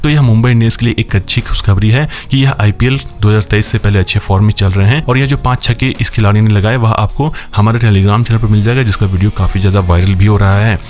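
Fast-talking man reading cricket news. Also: on the low side. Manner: rapid, steady newsreader delivery addressing viewers as friends. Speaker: cricket news channel host. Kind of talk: scripted news roundup voice-over.

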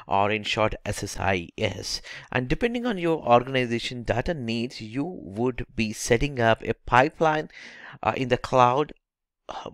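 A man giving a technical slide lecture as an instructor, halting, with frequent filler phrases and repeated words. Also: medium pitch, no room sound, clean studio sound.

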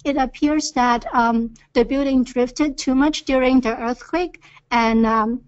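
A woman's voice: high voice, steady tone, measured pace, clean tone. A woman presenting engineering field observations on a webinar, with frequent hesitant fillers. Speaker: earthquake reconnaissance presenter. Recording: dull lo-fi webinar audio.

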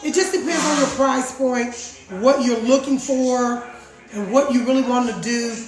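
Raspy-voiced woman chatting in a kitchen. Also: fairly high pitch, steady tone, moderate pace. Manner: chatty, repeats herself, addresses viewers as guys. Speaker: juice vlogger. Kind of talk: casual live chat. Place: home kitchen. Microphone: phone camera across the counter. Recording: roomy kitchen echo.

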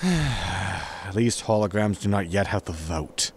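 Man as an exasperated spaceship captain, animated pitch. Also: slow, heavily acted. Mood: dry, weary. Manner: weary, scolding, dry. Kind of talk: sci-fi sitcom audio drama acting.

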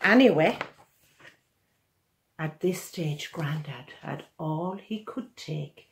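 An older woman in her sixties reads a children's picture book aloud in an animated, expressive way, recorded in a small room at home. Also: sits low, gravelly. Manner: animated, expressive, deliberate storytelling. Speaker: children's author reading own picture book. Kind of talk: storybook read-aloud for children.

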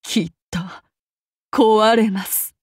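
An actress voicing a calm, menacing samurai woman of demon blood, speaking in formal archaic phrasing to her master. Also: mid-range voice, very slow, breathy edge, no room sound, clean studio sound. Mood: cold, brooding. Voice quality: formal, archaic courtly speech. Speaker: game character voice actress. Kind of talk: game character voice lines.

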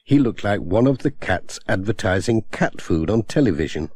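A man narrating a children's storybook in a gentle, expressive way on a close studio mic. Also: lowish voice, normal speed, dry recording. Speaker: audiobook narrator.

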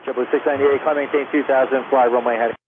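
A man's voice: mid-range voice, steady tone, rapid-fire, narrow, tinny audio. A man working as an air traffic controller, giving clipped procedural instructions over aviation radio. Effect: aviation radio transmission.

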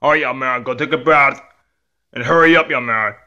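A man's voice: medium pitch, brisk, pushed and bright, muffled recording, pitched below the performer's own voice. A man playing a stern, weary father who scolds and admonishes his young son in a homemade story. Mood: firm.

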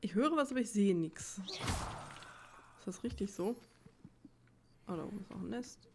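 A woman's voice: medium pitch, lively intonation, a little slow, darkish tone, slight background noise. A woman giving casual gameplay commentary, musing to herself in an informal way. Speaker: let's player. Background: game music.

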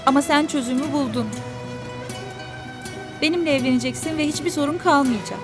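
An actress playing a young servant's daughter who is hurt but firm, speaking quietly and reproachfully in a TV drama. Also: female voice, sits high, brisk, lightly acted. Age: young adult. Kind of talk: TV drama acting. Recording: TV drama with music score.

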